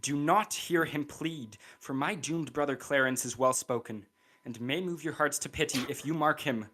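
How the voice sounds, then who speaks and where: Bright-voiced scheming royal villain played by a young man. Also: a little high, moderate pace. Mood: brisk, conspiratorial. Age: young adult. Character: scheming, bitter, villainous royal brother, coldly gleeful. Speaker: student actor. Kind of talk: Shakespearean radio play acting.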